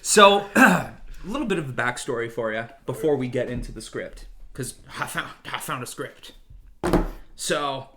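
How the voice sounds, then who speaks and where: A man's voice: medium pitch, animated, normal speed, a crowd in the background. A man hosting a casual chat, telling stories in a jokey way, often laughing and swearing freely. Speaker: podcast host. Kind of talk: casual chat, storytelling among friends.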